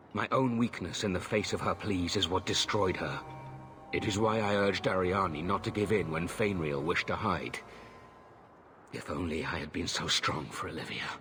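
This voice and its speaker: A man's voice: low voice, background noise, natural voice. A man playing a stern, formal knight who is a grieving father, in a game cutscene. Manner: formal, solemn. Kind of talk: dramatic game cutscene acting.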